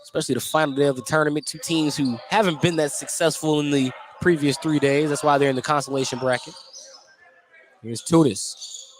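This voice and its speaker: A man with a slightly high voice calls a basketball game as play-by-play announcer. His live commentary follows the action, with light gym noise and bouncing balls behind him. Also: fairly even intonation, brisk. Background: basketball gym ambience, bouncing balls.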